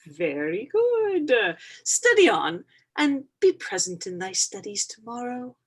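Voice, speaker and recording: A stately lady schoolteacher played by a woman declaims Shakespearean verse for a stage reading. The voice is fairly high and husky, with sweeping, theatrical rises and falls. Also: huge pitch swings, a little slow, heavily acted. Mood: instructive. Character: stately female schoolteacher reciting verse to class.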